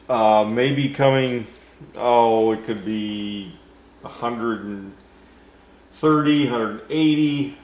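An older man explaining a technical diagram in an unscripted lesson, slowly and haltingly, with many fillers and restarts. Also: medium pitch. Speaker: HVAC instructor. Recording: roomy echo.

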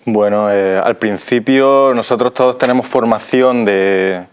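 A man's voice: lowish voice, measured pace, clean tone. A man in his thirties with an accent from Spain, chatting as an interview guest. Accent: Spanish (Spain). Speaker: interview guest, trained voice performer. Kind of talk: casual interview talk. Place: TV studio.

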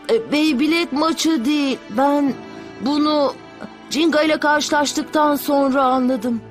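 A small cartoon boy, speaks in a high, earnest voice. He sounds friendly and vulnerable, a little hesitant, with soft music underneath. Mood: earnest warning. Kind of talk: dubbed cartoon dialogue.

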